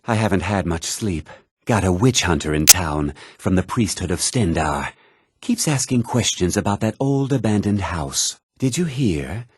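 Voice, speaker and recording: A man's voice: sits low, expressive, moderate pace, noisy background. An actor playing a tired, troubled townsman in a fantasy game, speaking in a subdued way. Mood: tired, uneasy. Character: tired, troubled townsman sharing local news.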